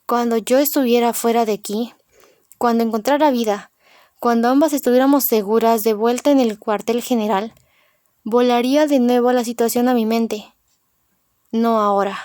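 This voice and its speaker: A woman's voice: a little high, fairly even intonation, normal speed, darkish tone, no echo, clean studio sound. A woman reading a novel aloud in the first person as an audiobook narrator.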